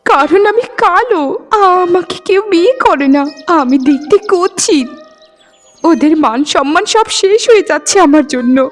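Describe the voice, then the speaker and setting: A woman's voice: extremely high pitch, expressive, moderate pace. A woman voicing a meek, rejected young bride in a melodramatic cartoon, pleading and repeating her phrases. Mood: despairing. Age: young adult. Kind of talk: Bengali cartoon dubbing, melodrama. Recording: music behind the voice. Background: background music.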